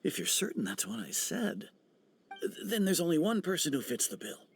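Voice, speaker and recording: A man playing a plain-spoken, friendly villager in a video game, with a gravelly, husky voice. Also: sits high, sing-song, normal speed, slight background noise, exaggerated voice. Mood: casual, assured. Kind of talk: video game character dialogue.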